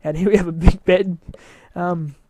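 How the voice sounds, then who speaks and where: A man's voice: high voice, fairly even intonation, normal speed, mellow. A man giving a casual, rambling walkthrough of his game build, tired and with his voice wearing out, hesitant and mocking himself.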